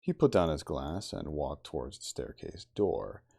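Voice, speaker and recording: A man with a soft, gravelly, husky voice giving a measured, expressive ASMR reading of a classic novel. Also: very deep voice. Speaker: audiobook-style reader.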